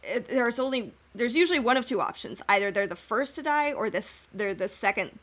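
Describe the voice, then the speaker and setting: A woman's voice: on the high side, lively intonation, a little fast, a bit bright. A woman co-hosting a podcast, chatting casually as a fan and offering her guesses in a tentative, hedging way. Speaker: podcast co-host. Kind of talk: casual fan discussion and speculation.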